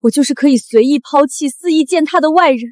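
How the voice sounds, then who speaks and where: An actress playing a wronged, resilient young top student in a short drama, speaking in earnest, declamatory phrases. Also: female voice, high-pitched, fast, darkish tone, no echo, studio quality, close to an everyday voice. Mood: aggrieved. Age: young adult.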